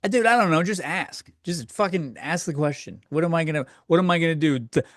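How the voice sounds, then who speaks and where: Crude, rambling man, slightly high voice. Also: fairly quick, fairly bright tone, no room sound, clean studio sound. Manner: crude, profane, self-deprecating; rambling, conversational. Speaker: podcast host. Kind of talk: casual comedic solo rant, answering listener questions.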